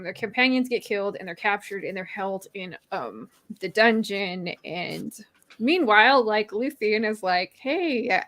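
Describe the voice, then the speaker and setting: A woman's voice: medium pitch, a bit bright. A woman with a mid-range voice hosts a casual book discussion. She talks informally, with loose, filler-heavy phrasing. The sound is dry, with almost no room echo. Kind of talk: casual chat retelling and discussing a story.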